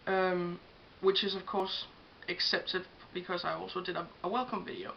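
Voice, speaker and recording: A woman's voice: on the low side, flat delivery, moderate pace, vocal fry. A young woman from Denmark with a Danish accent, talking through makeup brushes in a casual, hesitant way with many ums, English not her first language. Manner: chatty, hesitant, many ums while showing products. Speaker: makeup vlogger. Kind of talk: casual beauty tutorial. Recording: dull lo-fi home recording with faint hiss.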